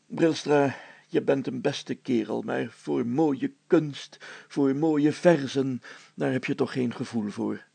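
A man voicing a pompous, fussy, excitable town mayor in a story, exclaiming and stammering when flustered. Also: mid-range voice, slow pace, gravelly, husky, no echo, pitched below the performer's own voice, exaggerated voice. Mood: lofty.

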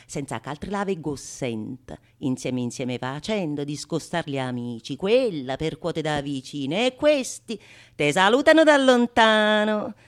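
An actress playing a shrewd, mocking courtesan in a theatrical radio monologue, declamatory and sardonic. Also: female voice, sits low. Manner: declamatory, sardonic, swinging from sung refrains to hushed asides. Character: shrewd, mocking, calculating courtesan scorning her besotted suitor. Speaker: stage actress performing solo.

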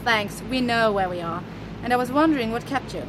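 A woman's voice: fairly high pitch, animated, background noise, natural voice. An actress playing a confident, wry woman pilot who loves speed, in an audio drama. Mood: breezy. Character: daring woman pilot, speed-loving adventurer.

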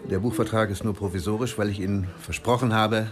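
A man's voice: lowish voice, fairly even intonation, fast, lightly acted. A man playing a big, good-natured, overeager professor in a radio play, persuasive and insistent. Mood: pleading, insistent. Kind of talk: German radio play dialogue. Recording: music playing behind the voice. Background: music.

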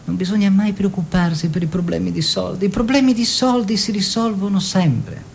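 An actor playing a self-satisfied, worldly art-collector husband who tells stories with wry emphasis in a radio play. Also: male voice, sits high, moderate pace, creaky, a little hiss or hum. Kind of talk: theatrical radio storytelling in dialogue.

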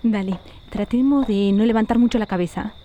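A woman playing an excitable, fussy young Argentine woman, the starstruck sidekick in an amateur radio play. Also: medium pitch, fairly quick, close to an everyday voice. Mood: flustered. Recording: faint noise with clicks.

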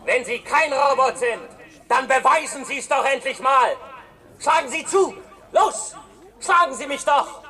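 A man's voice: very high-pitched, unhurried, pushed and bright, full character voice. An actor playing a scruffy male heckler who goads and mocks in a radio play. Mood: taunting. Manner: taunting, goading.

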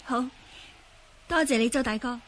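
A woman's voice: on the high side, fairly even intonation, normal speed, a little hiss or hum, lightly acted. A woman acting a polite, spirited young swordswoman in a Cantonese radio drama, with courteous theatrical delivery. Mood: polite farewell.

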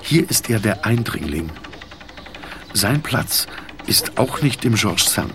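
A man's voice: fairly low pitch, big pitch swings, slow pace. A man narrating a radio drama as a critical observer, in a sober, pointed manner. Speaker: second narrator.

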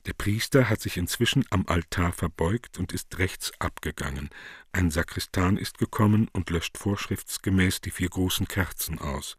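Deep, husky male narrator. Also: moderate pace, no echo, clean studio sound. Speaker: narrator reading stage directions. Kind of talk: scripted narration, radio play.

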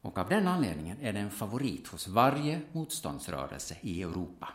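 A man playing a gruff, solemn, seasoned hunter who instructs and commands in an audio drama. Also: on the low side, sing-song, echoey room. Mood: sober, sceptical.

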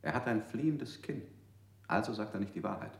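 A coldly logical interrogator. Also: male voice, on the low side, fairly quick, vocal fry, echoey room. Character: pedantic, threatening male interrogator. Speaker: interrogator in a radio play.

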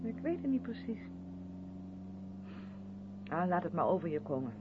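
A narrow, hissy vintage radio recording carries an earnest, defensive woman of the theatre. Her mid-pitched voice moves animatedly as she insists and justifies herself in radio drama style. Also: sing-song, normal speed. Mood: explaining, defensive. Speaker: radio play actor. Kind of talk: Dutch 1970s radio drama acting.

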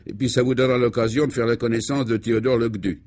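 A man's voice: medium pitch, steady tone, fast, darkish tone, close to an everyday voice. A man voicing a breezy, boastful male friend with a hearty, slangy, eager way of talking, in a comic radio serial. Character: breezy, boastful, enthusiastic male pal on the telephone.

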